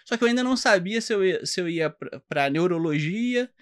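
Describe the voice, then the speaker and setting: Man, Carioca accent, high voice, halting, lots of restarts, podcast guest. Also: animated, a bit bright. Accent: Carioca Brazilian Portuguese. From Rio de Janeiro, Brazil. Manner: halting, many fillers and restarts, explanatory. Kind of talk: casual interview chat.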